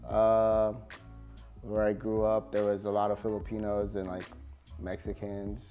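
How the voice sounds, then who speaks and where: A man from California, a tattoo artist, talking casually in an interview with a relaxed, halting manner full of fillers. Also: lowish voice, smooth, dull audio, soft background music.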